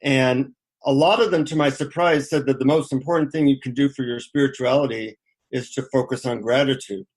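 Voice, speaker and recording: A man's voice: mid-range voice, fairly even intonation, brisk, slightly rough. A middle-aged man speaking as an interview guest, informally sharing anecdotes and advice in a conversational way.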